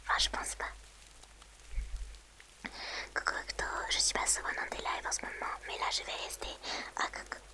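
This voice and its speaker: A woman streamer whispering softly and gently to her viewers in an ASMR livestream, close to the mic. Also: normal speed, pauses often, poor audio.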